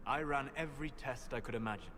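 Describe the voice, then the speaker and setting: An actor playing a courteous, measured, scholarly male elven mage in video game dialogue. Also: mid-range voice, fairly bright tone, lightly acted. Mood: reflective. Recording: clear ambient background noise.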